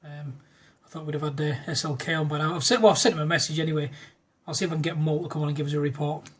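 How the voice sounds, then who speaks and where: A man's voice: on the high side, fairly even intonation, rapid-fire, breathy edge. A man in casual amateur radio chat, matey and full of radio jargon and call signs. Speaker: amateur radio operator making a demo video. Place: indoors at a desk in a front room.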